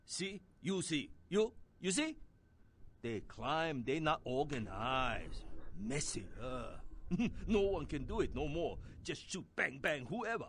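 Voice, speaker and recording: A gravelly older Chinese ex-hitman for a video game, rambling in broken English with a Chinese accent. He takes his time, yet his pitch jumps about as he grumbles and brags about himself in the third person. Noisy ambience sits behind him. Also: sing-song, slow, fairly bright tone, full character voice. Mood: grumbling, scornful. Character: boastful, rambling retired Chinese hitman, shady and bitter. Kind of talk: video game character acting.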